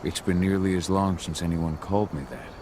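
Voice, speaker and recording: An actor playing a stubborn, protective warrior father in a video game, gruff, terse and intense. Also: low-pitched, fairly even intonation, a little fast, noisy background, close to an everyday voice. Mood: brooding, guarded. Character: brooding exiled warrior father, stubborn, fiercely protective man. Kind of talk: dramatic video game cutscene acting. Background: music.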